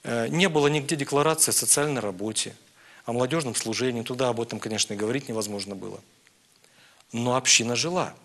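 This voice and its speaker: A man speaking as a priest on a live programme, answering questions in a measured, earnest, preaching way. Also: mid-range voice, a bit bright. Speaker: priest guest answering viewers' questions on air.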